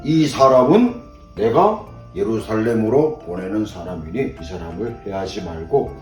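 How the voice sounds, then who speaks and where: A man's voice: on the low side, animated, unhurried, darkish tone. Older man as commanding benevolent king, roomy echo. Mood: authoritative, kindly. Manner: commanding, granting requests. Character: authoritative, benevolent Persian king. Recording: roomy echo, distant mic, faint music.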